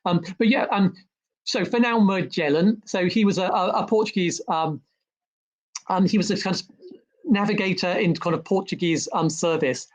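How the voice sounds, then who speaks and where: A man's voice: high voice, normal speed, poor audio. A man, a historian and author, talking as a guest in an interview, full of ums, restarts and repeated words. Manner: hesitant, many ums and restarts. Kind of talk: conversational interview about history.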